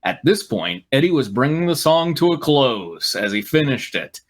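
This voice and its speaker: A man reads a story aloud with a very animated, swooping pitch. He keeps stepping out of the text to make sarcastic, irreverent comments in a medium-pitched voice. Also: moderate pace. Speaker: host and reader on a fan fiction riffing show. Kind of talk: reading a story aloud with mocking asides.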